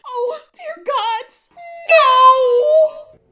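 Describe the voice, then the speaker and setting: A man's voice: very high voice, very slow, sharp tone, soft background music, voice pushed up, full character voice. A man voicing an anguished young princess in a fairy tale audiobook, tearful and pleading. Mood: horrified anguish.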